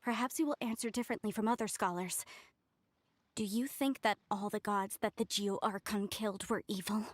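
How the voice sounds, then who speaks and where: A woman's voice: medium pitch, fairly even intonation, fairly quick, no room sound, close to an everyday voice. A woman voicing an earnest, inquisitive young scholar in a video game, formal and articulate.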